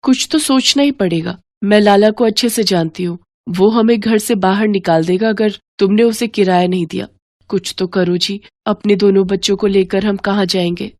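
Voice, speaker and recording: A woman voicing an anxious village wife and mother in an animated storybook tale, with noise in the recording. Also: medium pitch, moderate pace, no room sound, studio quality, natural voice. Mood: worried, pleading. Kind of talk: animated storybook cartoon dialogue.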